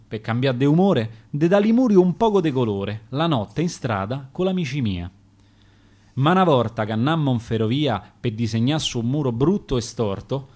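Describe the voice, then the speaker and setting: A man with a Roman accent reciting his own rhymed sonnets on radio, in a measured, rhythmic verse delivery. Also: medium pitch, a little mellow. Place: radio studio.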